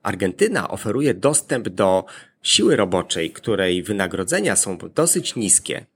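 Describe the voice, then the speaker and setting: A man presenting a scripted explainer in a deliberate, point-by-point manner. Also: fairly low pitch, very animated, slightly rough.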